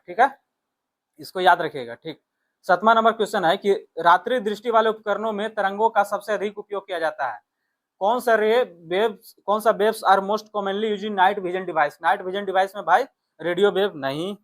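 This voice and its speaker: Male exam tutor, high-voiced, explains step by step and restates key points. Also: fairly bright tone. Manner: instructive, repeats points and reads questions aloud in Hindi and English. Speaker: exam coaching teacher. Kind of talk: explanatory test-prep lesson.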